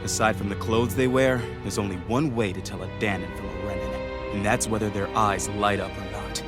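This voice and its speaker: An actor playing a hot-headed, bitter rebel fighter in a game, sneering and confrontational. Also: male voice, lowish voice, steady tone, fairly quick, lightly acted. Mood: sneering, accusing. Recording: background music under voice.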